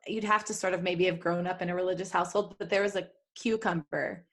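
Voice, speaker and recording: A woman's voice: on the low side, fairly even intonation, fast. Giggly woman chatting on a live podcast. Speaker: podcast co-host. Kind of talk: casual, joking live podcast chat.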